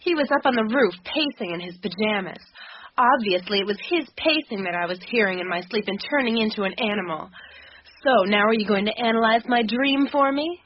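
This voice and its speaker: Actress as a skeptical young woman, higher voice, blunt, sardonic, unsentimental, radio drama, dull hissy recording. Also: animated, a little fast, lightly acted. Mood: brisk, wry. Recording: muffled radio recording with clicks and hiss.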